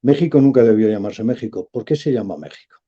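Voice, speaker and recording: A man, a professor speaking as a guest in a radio interview, measured and emphatic. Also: medium pitch, expressive, dark tone, husky, highs cut off.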